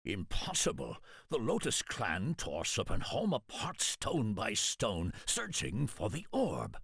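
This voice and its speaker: A grave samurai advisor in a game cutscene. His voice is low and husky, swinging widely in pitch. He counsels with solemn, loyal caution. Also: wildly swooping pitch, slow pace, fairly bright tone, dry recording, studio quality, full character voice. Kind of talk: video game cutscene acting.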